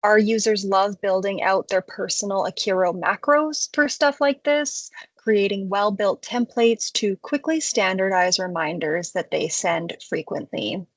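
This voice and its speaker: A woman narrating a scripted product tutorial as a software presenter, explaining things clearly in a conversational way. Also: mid-range voice, steady tone, unhurried, few pauses, dry recording.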